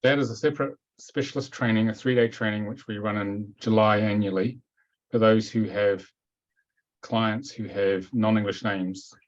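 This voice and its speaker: A man's voice: on the low side, steady tone, moderate pace. A man hosting a webinar Q&A, answering questions in a direct, matter-of-fact way over a video call. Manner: direct, matter-of-fact, repeats points firmly. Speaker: webinar host and teacher. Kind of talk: live webinar Q&A. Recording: dull lo-fi call audio.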